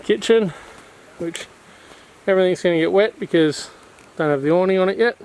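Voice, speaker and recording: Laid-back Australian dad filming a vlog. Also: male voice, high voice, normal speed, darkish tone, clean tone. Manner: laid-back, joking banter with his kids. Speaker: dad filming a camping trip. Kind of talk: casual vlog narration. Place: in a car, then outdoors at a bush campsite. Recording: noisy outdoor camera audio.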